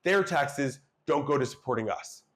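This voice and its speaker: A young adult man, a political candidate and schoolteacher, chatting in a relaxed, rambling way full of filler ums as a guest. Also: a little high, normal speed. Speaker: state senate candidate and schoolteacher. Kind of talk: casual interview chat.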